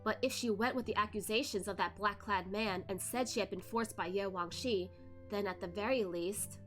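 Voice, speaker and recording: A woman's voice: medium pitch, moderate pace, a bit bright, soft background music. A woman reading a novel aloud as a streamer host, narrating expressively with casual asides. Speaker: host of a novel read-along, virtual streamer.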